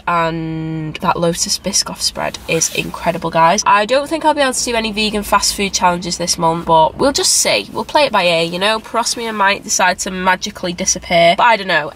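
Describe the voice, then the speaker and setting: A woman's voice: lowish voice, a bit bright, a little hiss or hum. A woman in her twenties chatting candidly to camera in a vlog, a little bunged up with a cough. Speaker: fitness vlogger. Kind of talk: casual vlog chat to camera. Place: parked car, then home kitchen. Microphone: camera on dashboard, then phone at arm's length.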